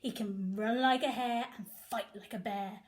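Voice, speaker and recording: A woman teacher reading a picture book aloud to young children, with animated, sing-song emphasis. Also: medium pitch, moderate pace. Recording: echoey room.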